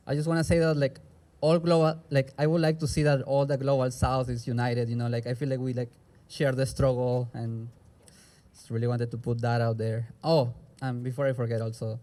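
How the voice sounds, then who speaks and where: A man's voice: medium pitch, level tone, brisk, warm tone. A young man from Peru with a Peruvian Spanish accent, a native Spanish speaker, talking casually and rambling at an outdoor rally. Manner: casual, rambling, many "like" fillers.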